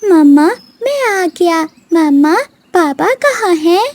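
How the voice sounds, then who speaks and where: A woman's voice: very high voice, animated, takes it slowly, a little mellow, voice pushed up, exaggerated voice. A woman voicing a sweet, chatty newly hatched bird child in a cartoon, childlike and pleading. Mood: excited.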